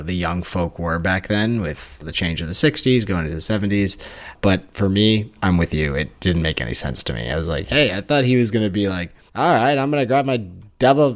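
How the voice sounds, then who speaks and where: Chill guy on a book podcast, kinda low voice, jokey. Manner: laid-back, jokey, puts on folksy voices. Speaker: podcast co-host. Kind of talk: casual book club chat.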